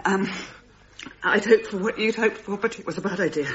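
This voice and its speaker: A woman's voice: mid-range voice, muffled recording, lightly acted. A woman acting in a radio drama as a grieving, guarded mother, speaking hesitantly and with brittle self-control. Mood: overwrought, apologetic. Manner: hesitant, halting, self-deprecating.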